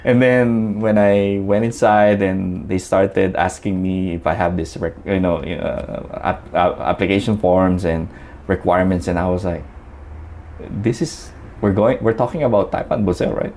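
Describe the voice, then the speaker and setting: A man from the Philippines speaks in a very low voice, telling his story as an interview guest. He talks chattily, with many fillers and restarts. Faint noise sits behind him. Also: normal speed, darkish tone.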